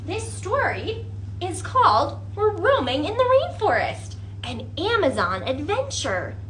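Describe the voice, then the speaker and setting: A woman's voice: very high voice, huge pitch swings, measured pace, fairly bright tone, slight background noise. A woman leading a children's storytime, reading a picture book expressively and putting questions to young listeners.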